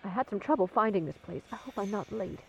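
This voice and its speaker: A woman's voice: medium pitch, expressive, fast talker, vocal fry, slight background noise. A woman playing a polite, apologetic visitor in an audio drama, in slightly dull sound. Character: polite, apologetic female visitor arriving late.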